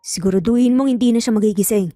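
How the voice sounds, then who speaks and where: A woman's voice: fairly high pitch, fast, dark tone, dry recording, studio quality, faint music behind, close to an everyday voice. Woman playing a menacing, greedy scheming uncle. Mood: cold, scheming. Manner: threatening, snarling. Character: greedy, menacing uncle plotting against his sister. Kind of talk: Tagalog audio story dialogue.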